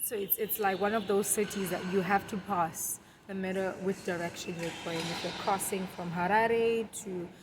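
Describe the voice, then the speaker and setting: A young woman from Zimbabwe, a podcast guest who talks in a relaxed, storytelling way and laughs easily. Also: mid-range voice, normal speed, few pauses, noisy background. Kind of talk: conversational interview.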